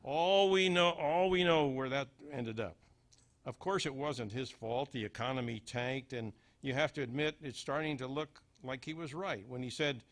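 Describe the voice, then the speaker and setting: A man's voice: medium pitch, normal speed. A man delivering a scripted humorous small-town monologue as a storyteller, with folksy, dry understatement. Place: live before an audience.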